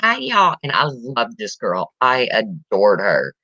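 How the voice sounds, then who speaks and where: Raspy woman, deep voice, blunt chatty talk, bright edge, dry dull recording. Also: animated, slow, dry recording. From US Midwest. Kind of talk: casual chat.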